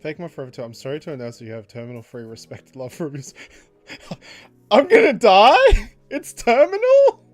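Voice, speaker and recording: A man casually chatting on a livestream while he draws, reading out chat messages, laughing often and jumping up in pitch for jokes. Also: very animated, normal speed, soft background music.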